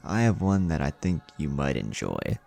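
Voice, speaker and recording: A man's voice: extremely low pitch, very animated, normal speed, gravelly, a little mellow, pitched below the performer's own voice, heavily acted. A man voicing a sly, servile plant-like clone henchman in an anime story, reporting deferentially, with music behind.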